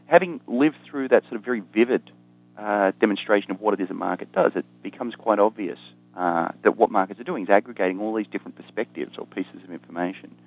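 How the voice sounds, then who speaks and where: A man's voice: fairly low pitch, highs cut off. An Australian man, an economist guest, explaining ideas in conversation with dry humor and frequent ums. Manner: dry self-deprecating humor, many ums and restarts.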